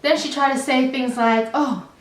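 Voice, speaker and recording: A woman's voice: high-pitched, normal speed. Chatty young woman, echoey room. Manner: chatty, animated, rambling with many fillers. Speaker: vlogger. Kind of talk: storytime vlog. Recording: roomy, slightly distant mic.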